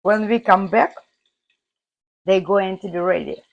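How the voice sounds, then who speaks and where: Hoarse, slow-talking woman cooking host. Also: medium pitch, highs cut off. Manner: chatty, talks viewers through each step. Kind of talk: casual cooking demonstration. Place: home kitchen.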